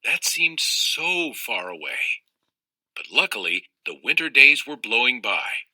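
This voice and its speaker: A man reading a children's novel aloud in an expressive storytelling style. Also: medium pitch, slow, no echo, rough recording. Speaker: audiobook reader of a children's novel.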